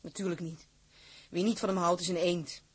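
Husky, blunt woman, sea captain's wife. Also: lowish voice, fairly even intonation, moderate pace. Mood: blunt, firm. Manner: blunt, defiant, no-nonsense. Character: bold, loyal, working-class sea captain's wife. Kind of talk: Dutch period radio drama acting.

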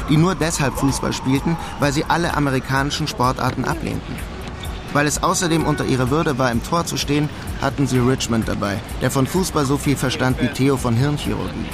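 A man's voice: medium pitch, vocal fry, lightly acted. Man as dry, laconic narrator over music. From Germany. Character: wry, resigned German immigrant man in New York. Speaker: first-person narrator. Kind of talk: radio drama narration, wry storytelling.

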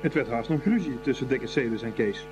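A man's voice: mid-range voice, animated, fast talker, dull audio, background noise, natural voice. A man narrating a scripted boys' school story for a radio play. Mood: storytelling.

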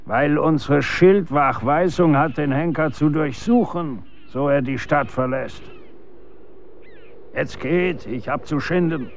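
An actor playing a gruff, middle-aged executioner and stern father in a radio drama, brusque and speaking in archaic wording. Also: a little high, slow pace, slight background noise.

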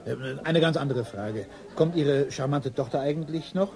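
An actor playing a pompous, quarrelsome know-it-all at the pub in a radio drama, mocking and theatrical. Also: male voice, fairly high pitch, normal speed, a crowd in the background, lightly acted. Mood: self-important.

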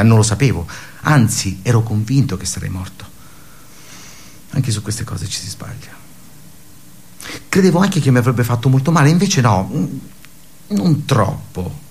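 Creaky-voiced, tormented office worker. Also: male voice, fairly low pitch, a little hiss or hum. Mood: weary, reflective. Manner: halting, intense, shifting from hushed murmurs to anguished outbursts. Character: wounded, despairing office worker recounting his suicide attempt. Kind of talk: radio theatre dramatic monologue.

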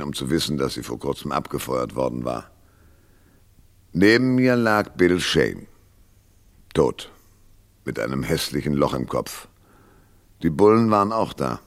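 An actor playing a battered, wisecracking private detective in a noir radio crime drama, laconic, dry and sardonic. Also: male voice, very deep voice, exaggerated voice. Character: hard-boiled, battered, wisecracking male private detective narrator. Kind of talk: noir radio crime drama, first-person narration and dialogue.

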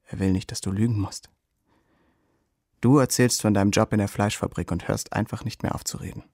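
An actor playing the watchful inner voice of a young soldier, narrating a radio drama in terse, observing phrases. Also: male voice, on the low side, steady tone, fairly quick, a little mellow, no room sound. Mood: hushed, intense. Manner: terse, present-tense, observing. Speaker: second-person narrator. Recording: studio radio play with guitar underneath.

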